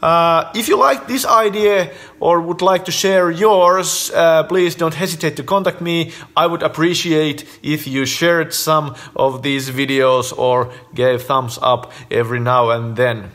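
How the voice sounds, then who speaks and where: Finnish man talking slowly to his phone. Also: on the high side. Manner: animated, emphatic, gesturing with fingers while counting points. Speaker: host. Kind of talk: casual vlog talk to camera. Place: living room at home, indoors. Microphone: phone camera at arm's length.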